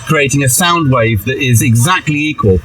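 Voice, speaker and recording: A man's voice: medium pitch, lively intonation, moderate pace, a bit bright, distant mic, a little hiss or hum. A man representing a car company, explaining technical design details fluently inside a car. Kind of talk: explanatory interview.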